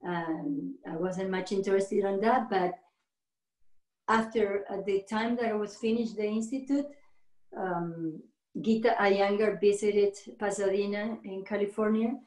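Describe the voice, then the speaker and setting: A middle-aged woman from Argentina with an Argentine Spanish accent. She is a yoga teacher being interviewed, speaking halting second-language English with many restarts and frequent laughter. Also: mid-range voice, steady tone, a little mellow. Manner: laughs often. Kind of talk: casual interview conversation. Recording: roomy echo.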